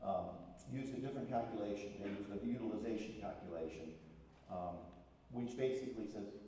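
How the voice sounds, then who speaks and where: A man's voice: lowish voice, fairly quick, warm tone, slight background noise. A man speaking as a school superintendent at a town meeting, plainspoken and firm about the ground rules. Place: large echoey public hall. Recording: echoey hall, voice from far off.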